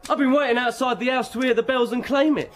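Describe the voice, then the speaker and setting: An actor playing an exuberant young bridegroom in a radio drama, excited and hearty. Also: male voice, very high-pitched, moderate pace. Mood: joyful. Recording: music and crowd behind.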